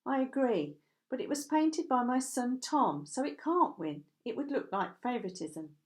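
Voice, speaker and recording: A woman's voice: fairly high pitch, lively intonation, moderate pace, a little mellow, pitched above the performer's own voice, lightly acted. A woman voicing a firm, self-effacing mother and potter who explains herself, in a storybook reading. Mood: firm refusal.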